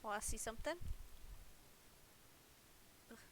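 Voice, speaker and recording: A woman casually chatting as she plays an online game on stream, playful, teasing and quick to swear. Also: on the high side, fairly bright tone, slight background noise. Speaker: livestreamer playing an online game. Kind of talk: casual gameplay chat with a co-player.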